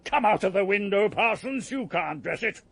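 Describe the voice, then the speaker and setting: An actor playing a pompous, irascible old draper who barks orders in a raspy, blustering manner in a period radio drama. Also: male voice, high-pitched, animated, moderate pace, a bit bright, dry recording, full character voice. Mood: indignant, bossy. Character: pompous, irascible old male draper shop owner, bossy and affronted.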